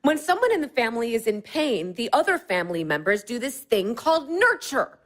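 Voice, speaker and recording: A high, very animated female voice, insistent and emphatic. a bossy, fretful wife set on matchmaking, in a natural audio-drama style. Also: fairly quick. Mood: pointed.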